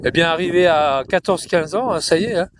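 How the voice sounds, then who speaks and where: High-voiced chatty man in a cow pasture. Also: fairly quick, background noise. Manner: chatty, repetitive, coos to the cows in a high sing-song voice. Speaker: vlogger filming cows. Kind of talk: casual rambling talk to camera.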